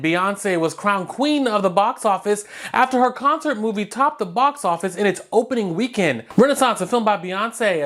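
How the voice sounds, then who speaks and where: An American news anchor delivers a scripted bulletin. The voice sits at a medium-high pitch between typical male and female ranges, reading headlines and short stories in a broadcast narration style.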